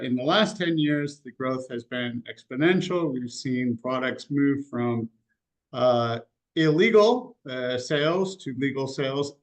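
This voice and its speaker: Relaxed American man presenting research. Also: mid-range voice, rough recording. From United States. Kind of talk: webinar presentation.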